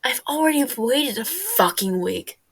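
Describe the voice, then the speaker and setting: A woman's voice: sits high, big pitch swings, measured pace, slightly rough, exaggerated voice. A woman voicing a brash, foul-mouthed sixteen-year-old boy in an audio story, blustering and tripping over words. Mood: defiant, furious. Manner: swearing, blustering, stumbling over words in agitation.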